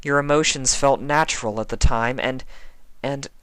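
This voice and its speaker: Man voicing a fierce, pitched-up young unicorn mare. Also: steady tone, moderate pace, a bit bright, clean tone, dry recording, natural voice. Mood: earnest, consoling. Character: anxious, fierce young female unicorn pony, accusing. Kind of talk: fan fiction character reading.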